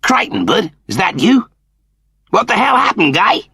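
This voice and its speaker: A man's voice: sits high, huge pitch swings, moderate pace, creaky, pushed and bright, slightly rough, dry recording, studio quality, voice pushed up, exaggerated voice. Man doing a slangy, swooping cat-man. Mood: startled. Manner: slangy, blunt. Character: cool, slangy male humanoid cat, cheekily blunt.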